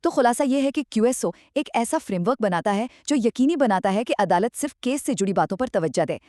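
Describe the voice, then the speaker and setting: A woman's voice: on the high side, expressive, fairly quick, dark tone, no room sound, clean studio sound. A woman giving scripted educational narration in a structured, explanatory manner.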